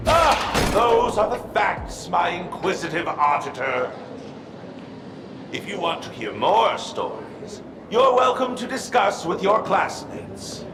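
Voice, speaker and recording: A flamboyant storyteller from a video game, spins myths with grand theatrical flourish. His voice sits high and swings widely, echoing over loud music. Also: very animated, takes it slowly, heavily acted. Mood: playful, pompous. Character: theatrical storyteller spinning tall mythic tales.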